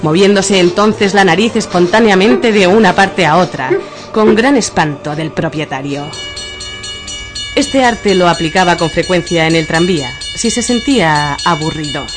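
A woman narrating a radio drama in a dramatic, literary and deliberate style. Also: on the low side. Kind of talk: theatrical scripted narration of absurdist radio fiction. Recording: music bed under the voice.

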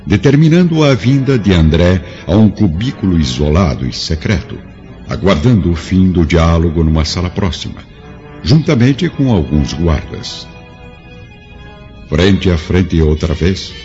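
A man's voice: deep voice, darkish tone. A man narrating a radio drama in a solemn, grandiloquent, dramatic scripted style. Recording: dull lo-fi radio drama with music.

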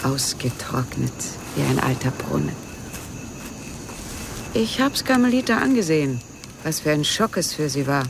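An actress playing a middle-aged grieving widow in a radio crime drama, brooding and halting as she drifts into memories. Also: deep voice, sing-song, gravelly, exaggerated voice. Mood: bitter, agitated. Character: world-weary grieving widow, haunted by memories, self-pitying. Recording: noisy track with outdoor ambience.

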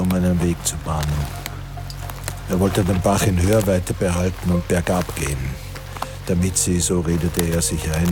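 Radio play narrator played by a man: grave, literary, extremely low register, over clear music. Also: natural voice. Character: grave male narrator of a wartime story.

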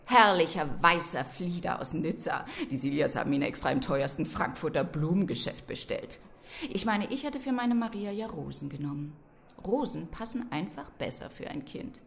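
A woman's voice: low voice, animated, moderate pace, vocal fry. An actress playing a nervous, envious middle-aged shopkeeper's wife in a radio drama, gushing and catty as she gossips. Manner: gushing, catty small talk.

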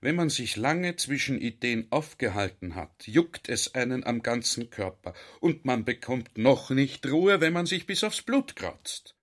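An actor playing a talkative, earnest army general in a radio drama, confiding and baffled by ideas. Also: male voice, medium pitch, very animated, measured pace, hardly any pauses. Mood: frustrated.

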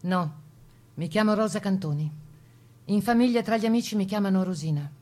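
A woman's voice: mid-range voice, brisk, warm tone, natural voice. An actress playing a young woman partisan prisoner in a radio drama, guarded and defiant, terse. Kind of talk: stage radio drama dialogue.

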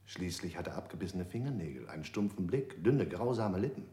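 A man's voice: sits low, animated, brisk, mellow, roomy sound. An actor playing a cold, prejudiced male interrogator in a radio drama, scornfully listing traits.

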